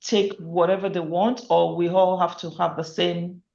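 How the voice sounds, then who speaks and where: A woman's voice: fairly low pitch, level tone, normal speed, vocal fry, darkish tone. A woman moderating an informal group call, speaking a little hesitantly in short acknowledgements and questions. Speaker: meeting moderator. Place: remote online group call. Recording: roomy, dull call audio.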